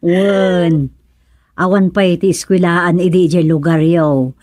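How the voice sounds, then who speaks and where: A woman playing a plain-spoken, measured village housewife in a radio comedy-drama. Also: lowish voice, fairly even intonation, a little mellow, no echo, lightly acted.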